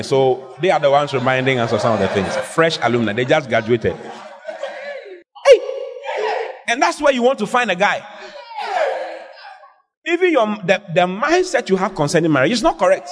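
A man preaching a lively sermon to a congregation, calling out questions and drawing answers back from them. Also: high-pitched, moderate pace, pauses often, crowd noise. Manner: animated, call-and-response with the congregation. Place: church hall. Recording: husky voice in a reverberant hall.

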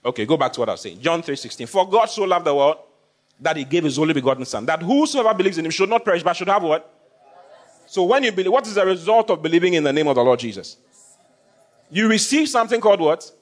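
A male pastor delivers a sermon, his voice sitting a little high and swinging widely in pitch. He drives points home by repeating lines and asking the congregation questions. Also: expressive, fast talker. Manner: call-and-response with congregation, repeats phrases for emphasis.

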